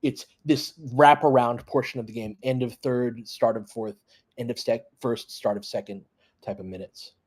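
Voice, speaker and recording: A man's voice: mid-range voice, steady tone, normal speed, darkish tone. A man hosting a fan livestream, giving off-the-cuff, conversational play-by-play of a basketball game and chatting with listeners. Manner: conversational, off-the-cuff, talking in short clipped bursts. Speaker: sports livestream host doing fan play-by-play. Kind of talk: casual running basketball commentary, chatting with listeners.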